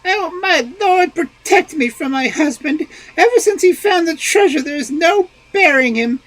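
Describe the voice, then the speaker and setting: A voice performing a nagging old peasant wife in a storybook reading, with a shrill, exclamatory manner. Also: female voice, lively intonation, moderate pace, poor audio. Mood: pleading.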